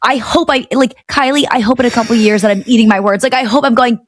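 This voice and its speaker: Chatty excitable woman, slightly high. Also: quick pace, no echo, studio quality. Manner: chatty, excitable, many restarts and fillers. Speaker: podcast guest. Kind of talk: casual chat.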